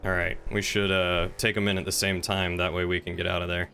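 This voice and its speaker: Bright-voiced man in casual game roleplay. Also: low-pitched, flat delivery, a little fast, gravelly, slight background noise. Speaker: streamer playing a crime roleplay character.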